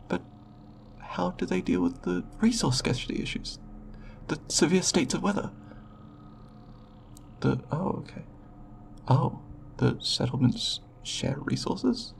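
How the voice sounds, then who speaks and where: Man as a hesitant, creaky-voiced alien researcher. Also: fairly high pitch, a little hiss or hum, exaggerated voice. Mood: incredulous, curious. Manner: hesitant, halting, formal scientific wording. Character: timid, scholarly male alien researcher, curious and polite. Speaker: roleplay voice performer. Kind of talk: ASMR roleplay monologue.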